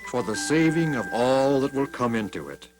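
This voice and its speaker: A man voicing God in a Bible storybook, giving grave commands in measured phrases. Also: medium pitch, animated, lightly acted, low-quality recording. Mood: commanding. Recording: music behind the voice.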